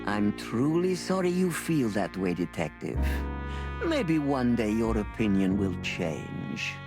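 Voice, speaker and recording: A performer playing a cold-blooded crocodile crime boss in a noir video game, speaking in a measured, formal, condescending way. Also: mid-range voice, sing-song, over music. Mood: aloof, dismissive.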